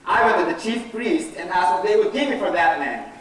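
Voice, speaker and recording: An actor playing a bitter, guilt-ridden betrayer in a dramatic stage monologue, swinging from muttering to anguished outbursts. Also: male voice, high voice, expressive, fairly quick, a bit bright. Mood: scornful, angry. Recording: very echoey hall from far off, faint noise.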